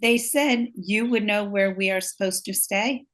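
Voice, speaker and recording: A woman's voice: medium pitch, unhurried, fairly bright tone, lightly acted. A woman playing a timid, polite wife seeking shelter in a stage play, hesitant and apologetic. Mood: uneasy, hesitant.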